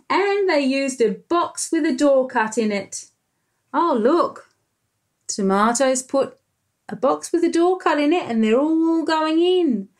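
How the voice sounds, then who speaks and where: An Australian woman in her thirties reads a picture book to young children. Her voice is high and she takes it slowly. She is expressive and adds simple comments as a preschool teacher would. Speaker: preschool teacher reading aloud. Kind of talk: children's storybook read-aloud with commentary. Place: small bright room indoors.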